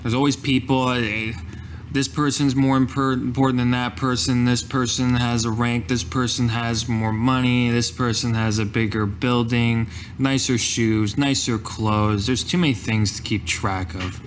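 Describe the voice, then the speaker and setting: A man's voice: medium pitch, steady tone, a little slow, slight background noise. A man playing a tabletop game, improvising in character in a hesitant, conversational manner. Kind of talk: improvised in-character roleplay in own voice.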